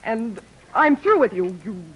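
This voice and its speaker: A woman in a 1940s radio comedy, playing a headstrong young woman author who is stubborn and quick-tempered. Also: fairly high pitch, big pitch swings, husky, full character voice. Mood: furious. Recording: dull old radio broadcast.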